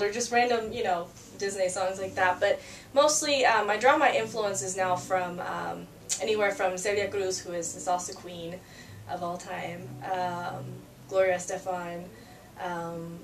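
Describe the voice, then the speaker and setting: A woman's voice: lowish voice, slight background noise. Chatty young woman from Peru, roomy distant sound. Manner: chatty, laughs often, many fillers and restarts. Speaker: singer-songwriter guest. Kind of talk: casual interview chat. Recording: roomy, distant mic, faint ambience.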